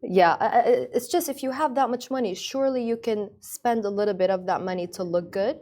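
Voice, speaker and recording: A woman's voice: medium pitch, fairly quick, darkish tone. A woman hosting a business show in a studio, candid and chatty, laughing often. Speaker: host. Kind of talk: casual studio chat.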